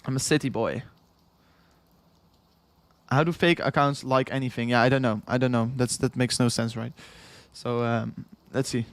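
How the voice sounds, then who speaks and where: A man's voice: mid-range voice, fairly even intonation, moderate pace, no room sound. A young man with a Dutch accent talks loosely to his livestream chat, repeating phrases and chuckling between thoughts. He sounds tired from hours of streaming, his voice sitting mid-range in a dry, close recording. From Netherlands. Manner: rambling, repeats himself, chuckles often, reads chat aloud. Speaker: crypto livestream host.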